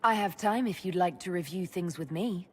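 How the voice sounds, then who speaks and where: An actress playing a calm, supportive woman who leads a fantasy order in a video game, speaking gently and plainly. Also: on the low side, moderate pace, natural voice. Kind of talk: video game cutscene acting. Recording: game capture with background ambience noise.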